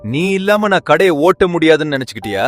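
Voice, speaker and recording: A man playing a lazy, boastful village husband with a casual manner in an animated moral story. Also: a little high, fast talker. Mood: indignant. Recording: music bed with crowd murmur. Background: music and crowd sounds.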